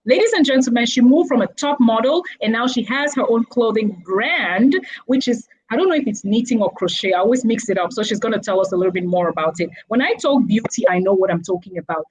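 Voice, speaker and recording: A woman's voice: a little high, animated, quick pace, few pauses, a little mellow, slightly rough, low-quality recording. A woman hosting a live talk show in an enthusiastic, effusive way, addressing the audience as she goes. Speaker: host.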